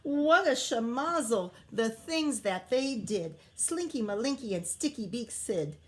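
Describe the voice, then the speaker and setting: Primary teacher, female, slightly high voice, very measured pace; expressive children's read-aloud with coaxing asides. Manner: expressive, sing-song story reading, coaxing questions to young listeners. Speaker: primary school teacher reading aloud to pupils.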